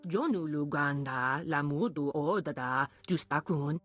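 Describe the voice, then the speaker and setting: Very low-voiced alien shopkeeper. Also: female voice, unhurried, a bit bright, no room sound, soft background music. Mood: dealing. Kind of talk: video game voice acting in invented alien tongue.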